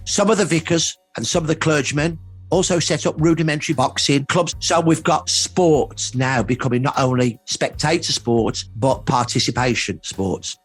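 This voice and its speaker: A man in his sixties speaking as a history expert in a documentary interview, explaining emphatically with rhetorical questions and deliberate lists. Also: fairly high pitch, breathy edge, no room sound, soft background music. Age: 60s.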